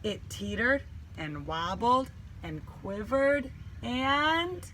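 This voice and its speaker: A woman reading a children's storybook aloud in an animated, dramatic way with deliberate emphasis. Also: on the high side, slight background noise, low-quality recording. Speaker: teacher reading a picture book.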